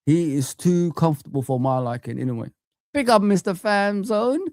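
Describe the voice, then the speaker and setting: A man's voice: sits high, lively intonation, normal speed, a little mellow, dry recording, studio quality. A young man hosting a live fan chat stream, ranting heatedly and repeating himself.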